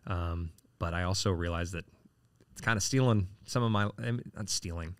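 A man's voice: low-pitched, normal speed, clear, resonant tone, dry recording. A man in his late forties, a city council candidate answering interview questions casually, with lots of fillers and restarts. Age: 40s. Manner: rambling, frequent fillers and restarts.

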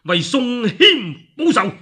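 A man's voice: very high-pitched, animated, slow, a bit bright, slightly rough, pitched above the performer's own voice, heavily acted. A male storyteller with a Cantonese accent voicing a vengeful warrior general who speaks urgently and insistently. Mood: urgent, pleading.

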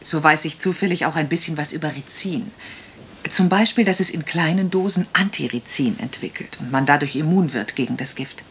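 An actress playing a shrewd, determined young woman detective in a radio crime drama, with deliberate, insistent delivery. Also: low-pitched, a little hiss or hum. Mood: calm, purposeful. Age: young adult.

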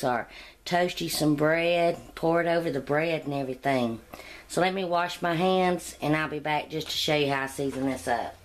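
Chatty woman, homey kitchen talk. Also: low-pitched. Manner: chatty, homey, rambling asides. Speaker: cooking channel host. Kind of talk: casual cooking demonstration. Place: home kitchen. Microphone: camera mic, speaking off camera.

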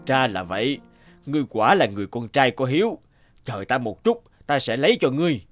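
A man's voice: medium pitch, animated, brisk, over music, lightly acted. An actor playing a cunning, haughty male keeper of sky horses in a cartoon. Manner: haughty, scheming.

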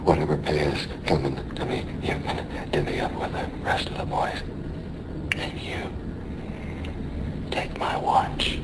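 A low, slow, dying American sailor. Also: male voice, heavily acted, rough recording. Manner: halting, labored, brooding delivery. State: weak, in pain. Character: dying American sailor, weary, wistful, afraid. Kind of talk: radio drama acting. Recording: faint noise behind a radio play.

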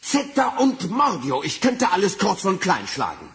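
A man's voice: high-pitched, wildly swooping pitch, a little slow, heavily acted. A man playing a coarse, cursing royal coachman in a fairy-tale radio play. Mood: angry, grumbling. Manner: blustering, coarse. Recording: noisy vinyl record transfer.

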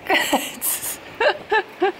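A woman in her thirties chatting playfully on a travel vlog, laughing often, outdoors on a windy lighthouse gallery with a camera mic. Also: very slow. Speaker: sailing vlogger. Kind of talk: casual travel vlog chat. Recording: wind noise on camera mic.

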